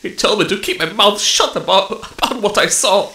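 Rattled guard officer, sci-fi drama, agitated, sharp animated voice, defensive. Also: male voice, high-pitched, brisk, full character voice. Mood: rattled, pleading. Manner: agitated, stammering. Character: rattled, defensive male space guard officer under interrogation. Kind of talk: science fiction audio drama acting. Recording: echoey room with faint noise.

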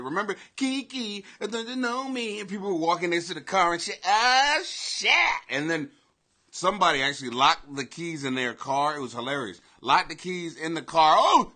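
A man in his forties hosting a casual live webcam show from his home basement, talking loosely with swearing, loud bursts and laughing asides. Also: on the high side. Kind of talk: casual profane live commentary. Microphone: webcam at desk.

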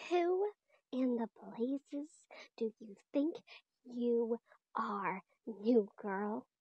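Woman playing a high-pitched, snobby schoolgirl bully. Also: warm tone, dry recording, dull audio, heavily acted. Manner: haughty, indignant. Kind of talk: amateur audio roleplay acting.

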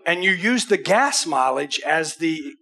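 A man's voice: on the high side, expressive, measured pace, pushed and bright. An American man in his sixties preaching a conversational, emphatic sermon as a pastor, with rhetorical questions. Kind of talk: conversational sermon with acted examples. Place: church auditorium stage.

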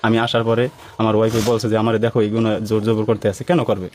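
A man's voice: on the low side, level tone, rapid-fire, darkish tone, a little hiss or hum. A man gives an unscripted account of his troubles, pleading and aggrieved. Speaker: complainant being interviewed. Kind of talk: spontaneous personal account.

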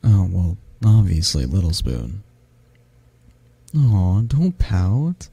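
A man as a gravelly, low, flirty half-dragon. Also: sing-song, dry recording, heavily acted. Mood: playful, cuddly. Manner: flirty, gentle, teasing, halting. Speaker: roleplay voice actor. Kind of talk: intimate ASMR roleplay.

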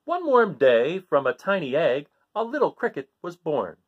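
Animated middle-aged man reading a picture book. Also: on the high side, slightly rough, dull audio. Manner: theatrical, with dramatic pauses and hushed phrases. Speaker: read-aloud storyteller. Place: small living room at home.

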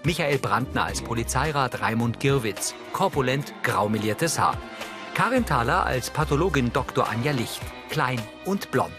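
Male audio describer, mid-pitched. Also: expressive, music in the background. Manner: factual, measured. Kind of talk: scripted narration, neutral audio description.

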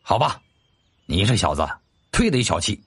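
Man acting gruff, blustering old warrior uncle. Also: on the low side, big pitch swings, normal speed, fairly bright tone, exaggerated voice. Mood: grumbling. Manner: blunt, grumbling.

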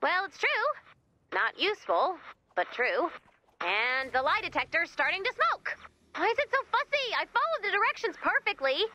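A woman's voice: very high-pitched, very animated, normal speed, bright, forceful tone, slight background noise, exaggerated voice. A woman playing a wisecracking, eager, sarcastic female robot helper in a video game, quippy and playful with rambling asides. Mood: exasperated, quippy. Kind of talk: scripted video game character dialogue.

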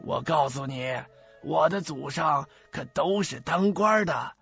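A male actor plays a chatty old theater handyman in a radio drama, gravelly and husky. He boasts in a folksy, slow, drawn-out way. The audio is muffled, with faint music behind. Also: a little high, fairly bright tone, full character voice. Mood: proud. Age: older.

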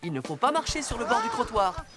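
A woman voicing a calm, earnest rescue car that patiently teaches safety in a French children's cartoon. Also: on the high side, fast talker. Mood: patient, instructive. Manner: clear, patient, admonishing. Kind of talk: instructive French cartoon dubbing. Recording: loud music under the voice.

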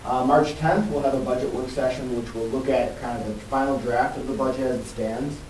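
A man's voice: mid-range voice, fairly even intonation, fairly quick, a little mellow. Echoey, distant man presenting a budget. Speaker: school budget official presenting. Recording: echoey, distant mic, faint noise.

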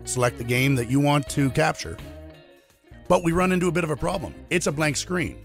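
A man in his thirties with a cold, hoarse voice, chatting through a tech tutorial step by step in a small home studio. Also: on the high side, lively intonation, a little fast, faint music behind. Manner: chatty, explains step by step with fillers. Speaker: streaming tech YouTuber. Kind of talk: casual tech tutorial to camera.

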